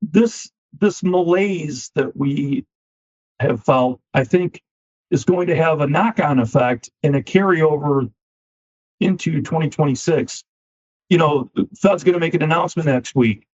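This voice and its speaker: A man, a finance company CEO, answering interview questions with a slightly scratchy voice, measured and often repeating words as he starts a thought. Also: a little high, a little mellow. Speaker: equipment finance CEO, guest. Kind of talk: business podcast interview. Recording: dull lo-fi sound.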